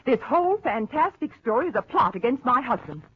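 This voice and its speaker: An actress playing a genteel, protective politician's wife in a 1940s radio crime drama, with a halting, theatrical delivery. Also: female voice, fairly high pitch, very animated, slight background noise. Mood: accusing. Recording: tinny, narrow old-time radio recording.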